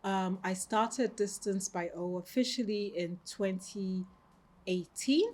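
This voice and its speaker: A woman in her thirties, raised in Austria, answering interview questions calmly and reflectively in her boutique. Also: mid-range voice, slow pace. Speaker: boutique owner, guest.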